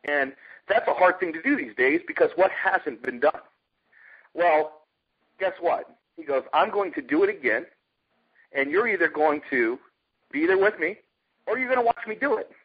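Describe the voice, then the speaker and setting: Middle-aged american guy pitching on a dull muffled conference line, husky voice, punchy storytelling. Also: lively intonation, moderate pace, long pauses. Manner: emphatic storyteller, uses rhetorical questions. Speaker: network marketer pitching a company. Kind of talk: informal sales pitch on a conference call. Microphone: telephone conference call.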